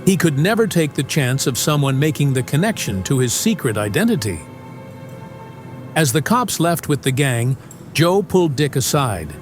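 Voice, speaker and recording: A man's voice: fairly high pitch, big pitch swings, moderate pace. A man delivering dramatic scripted narration as the narrator of an audio drama. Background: music.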